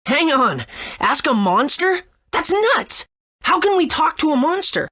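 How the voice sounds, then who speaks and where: A high-voiced young boy hero for a video game, eager and earnest. The pitch moves around a lot, giving an excitable, adventurous delivery. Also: unhurried, full character voice. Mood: baffled. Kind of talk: video game character acting.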